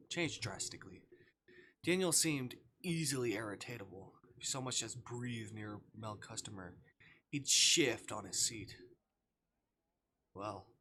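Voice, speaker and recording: Bright-voiced man reading aloud on stream. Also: on the low side, a little hiss or hum. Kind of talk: reading visual novel narration and dialogue aloud on a livestream.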